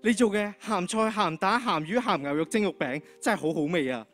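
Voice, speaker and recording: A teenage boy playing an excitable, easily panicked schoolboy in a radio drama on stage. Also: high voice, brisk, faint music behind. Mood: puzzled, earnest. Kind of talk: Cantonese radio drama acting.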